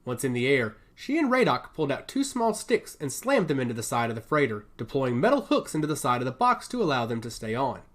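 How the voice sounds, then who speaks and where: A male narrator delivers scripted fiction with expressive, descriptive storytelling. His mid-range voice moves up and down a great deal as he tells the story. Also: mid-range voice, a little fast, few pauses.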